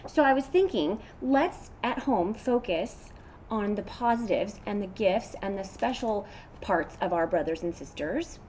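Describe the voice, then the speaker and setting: A woman's voice: medium pitch, animated, unhurried, darkish tone. Middle-aged American woman, noisy recording. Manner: encouraging, conversational. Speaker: elementary teacher reading aloud. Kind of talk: casual read-aloud talk to children. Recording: clear background noise.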